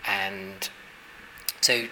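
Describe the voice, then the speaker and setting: A man from Hungary speaks in a low, flat voice and talks about his work, pausing often and restarting his sentences. Steady noise from the gallery space stays under him throughout. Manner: thoughtful, halting, with many fillers and restarts. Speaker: artist. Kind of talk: exhibition interview. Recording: noisy ambience at an exhibition.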